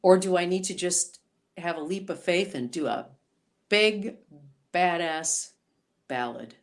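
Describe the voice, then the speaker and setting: A woman singer-songwriter being interviewed on a podcast, talking in an animated, chatty way. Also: fairly low pitch, slow pace. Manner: animated, chatty storyteller, voices quoted reactions.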